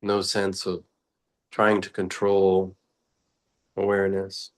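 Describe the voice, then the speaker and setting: A man with a deep voice leads a guided meditation. He speaks very slowly and with little melodic movement, offering short reflective phrases separated by long pauses. The delivery is contemplative and unscripted, as a teacher would give it. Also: completely flat delivery.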